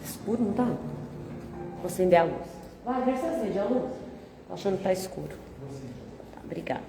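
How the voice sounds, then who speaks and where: A Brazilian woman giving a gentle, conversational spiritist talk with a nasal, congested voice from a cold. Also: medium pitch, lively intonation, normal speed, over music. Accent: Brazilian Portuguese. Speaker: spiritist lecturer. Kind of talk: public talk with opening prayer. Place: large hall of a spiritist center. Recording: echoey hall with long reverb.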